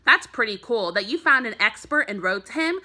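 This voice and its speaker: Woman reading as a frank, bright-voiced big sister. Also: fairly high pitch, animated, fairly quick. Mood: supportive. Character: blunt but supportive older sister, a girl. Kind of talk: children's storybook read-aloud.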